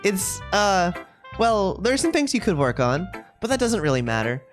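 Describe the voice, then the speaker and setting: Someone voicing a shy, intense girl, animated pitch. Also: moderate pace, faint music behind, lightly acted. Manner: hesitant, awkward. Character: shy, intense bookish girl in visual novel.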